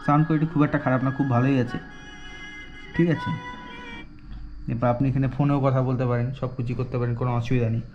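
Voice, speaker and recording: A man's voice: mid-range voice, fairly even intonation, quick pace, long pauses, music in the background. A man giving a casual, step-by-step product demonstration in an explanatory way, often checking in with a short "okay". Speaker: product reviewer. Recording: dull lo-fi sound with faint noise.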